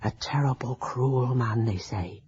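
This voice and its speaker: An actor playing an elderly, deferential rustic servant with a husky voice, hesitant and gossipy, in a radio drama. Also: medium pitch, unhurried, lo-fi sound. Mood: confiding. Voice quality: husky, breathy. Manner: hesitant, repeating, hushed storytelling.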